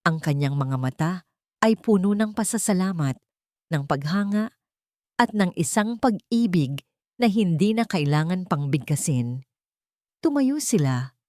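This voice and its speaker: Woman narrator for dramatic audio stories: low register, sentimental measured phrasing, very dry sound. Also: expressive, mellow, dry recording. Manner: deliberate, sentimental delivery in short phrases. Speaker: storyteller and channel host.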